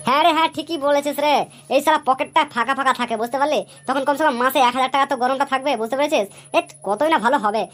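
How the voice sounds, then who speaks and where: A woman's voice: sits high, very fast, close to an everyday voice. A woman voicing a cheeky young male village sidekick in a comic cartoon, talking in a slangy, nagging way. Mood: eager.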